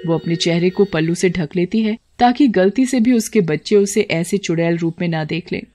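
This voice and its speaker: A woman narrating a cartoon horror story in a steady, scripted manner. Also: fairly low pitch.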